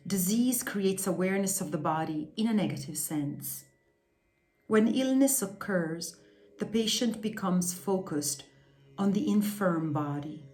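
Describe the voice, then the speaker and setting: A woman reading an instructional spiritual text aloud in a measured, deliberate way, carefully enunciating its terms. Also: on the low side, a little mellow. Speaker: reader of a yoga philosophy text.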